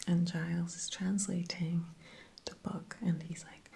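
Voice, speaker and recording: Slow, husky whispering woman. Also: fairly low pitch, little pitch movement. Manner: soft unvoiced whisper throughout, chatty with fillers. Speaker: TV episode reviewer. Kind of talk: whispered ASMR episode recap.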